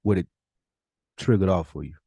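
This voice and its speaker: Deep-voiced American man hosting casually. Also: moderate pace, gravelly, dark tone, no room sound, clean studio sound. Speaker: podcast host. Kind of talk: casual, reflective talk.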